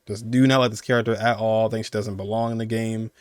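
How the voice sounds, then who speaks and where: A man's voice: on the low side, level tone, fast, clean tone, dry recording, clean studio sound. A man in his twenties giving casual, rambling commentary as he hosts a video countdown. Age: 20s. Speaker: video host. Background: music under the voice.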